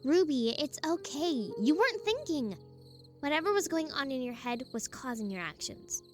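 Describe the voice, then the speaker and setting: A woman's voice: high voice, very animated, normal speed, over music. A woman voicing a composed, frank young huntress in an audio drama, firm but caring. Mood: reassuring. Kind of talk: dramatic fan-fiction voice acting.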